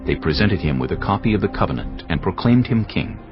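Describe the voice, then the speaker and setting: A man narrating scripture in a measured, solemn storytelling style, as in a dramatized audio Bible. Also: sits low, expressive. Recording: slightly muffled, music behind. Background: background music.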